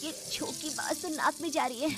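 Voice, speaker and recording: A woman as an anxious high-voiced young bride. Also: normal speed, over music. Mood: curious, anxious. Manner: anxious, earnest, exclamatory. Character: young new bride, timid, easily frightened daughter-in-law.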